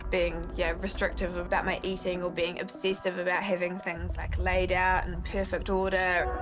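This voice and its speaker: Candid young New Zealand woman over loud music. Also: fairly low pitch. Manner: candid, conversational. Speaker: documentary subject, poet and musician. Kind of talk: reflective personal interview narration. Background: loud music bed.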